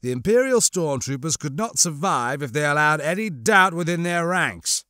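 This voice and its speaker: A man playing a cold, scheming crime lord in an audiobook, mocking and disdainful, with menacingly measured delivery. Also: on the high side, lively intonation, sharp tone, dry recording, studio quality. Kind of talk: audiobook character acting.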